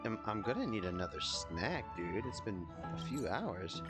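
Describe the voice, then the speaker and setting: A man's voice: lowish voice, big pitch swings, normal speed. A man streaming a video game, giving casual, rambling, informal and self-deprecating live commentary. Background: game music.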